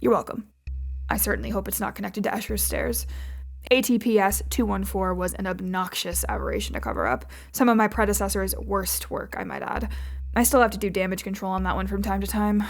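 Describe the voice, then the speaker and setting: An actress playing a dry, exacting agency chief in an audio drama, speaking formally and precisely with some condescension. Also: female voice, medium pitch, fairly quick, creaky. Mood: exasperated, dry. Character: dry, exacting female boss of secret agency.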